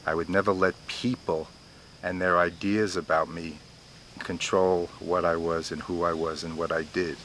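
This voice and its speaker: A man's voice: low voice, level tone, normal speed, a bit bright, slight background noise, low-quality recording. A man in his fifties who stutters, with repeated words and blocks, talking about himself in an interview. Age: 50s. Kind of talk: personal interview.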